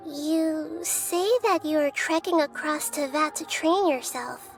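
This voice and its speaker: Slow, gentle, wise child deity. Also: female voice, high voice, soft background music. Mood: curious, composed. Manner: measured, thoughtful, formal. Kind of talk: video game character acting.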